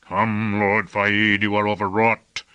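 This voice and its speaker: A man's voice: fairly low pitch, completely flat delivery, slow, pushed and bright, clear, resonant tone. A man voicing a stolid, blunt sorcerer in an audiobook, answering in a curt, cool, matter-of-fact way. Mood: unmoved, blunt. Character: stolid, blunt male jinxman, unmoved by anger.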